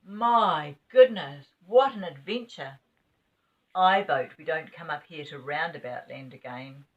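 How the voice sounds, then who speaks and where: A woman voicing excitable young children on a storybook adventure, full of exclamations. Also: low voice, measured pace. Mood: amused, relieved. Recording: dull, distant home recording.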